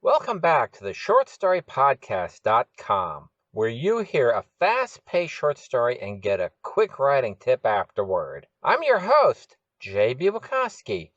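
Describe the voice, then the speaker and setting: Guy podcast host reading from a script, really animated delivery, sound is a bit dull. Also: mid-range voice, dry recording. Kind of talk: scripted narration of short fiction and tips.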